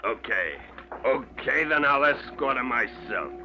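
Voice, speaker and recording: An actor playing a huge, hard-headed, bullying tugboat captain with blustering, ungrammatical tough talk in an old radio drama. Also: male voice, medium pitch, animated, measured pace, music in the background. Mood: menacing. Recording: noisy, muffled vintage radio recording.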